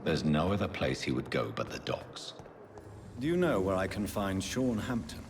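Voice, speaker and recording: Grave vampire doctor, very low voice, formal, determined, composed, video game dialogue, music underneath. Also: male voice, very animated. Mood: resolute. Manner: formal, polite, measured wording.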